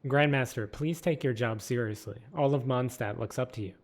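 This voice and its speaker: A man voicing an earnest young woman knight commander, a bit louder and higher than his narration. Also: normal speed, lightly acted.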